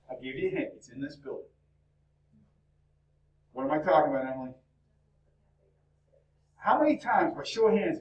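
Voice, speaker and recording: A male instructor lecturing a university class in a lively, chatty way, peppering students with questions, his voice cracking. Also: high-pitched. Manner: talkative, upbeat, fires questions at the class. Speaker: business instructor. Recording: echoey classroom from far off.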